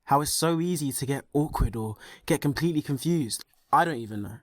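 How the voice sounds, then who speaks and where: A man's voice: fairly high pitch, lively intonation, fairly quick, no echo, clean studio sound. A man playing a guarded, disillusioned young man in a blunt, defensive radio play monologue.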